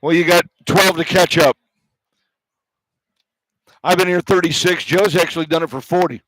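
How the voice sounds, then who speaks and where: A man's voice: a little high, fairly even intonation, normal speed, fairly bright tone, dry recording, rough recording. An older man working as a radio play-by-play broadcaster, giving postgame sports commentary and chat on a headset mic. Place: courtside at a basketball arena.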